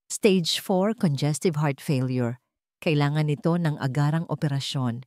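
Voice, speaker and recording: A woman narrator reading a scripted romance drama in a measured, dramatic storytelling manner. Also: low-pitched, darkish tone, no room sound, clean studio sound.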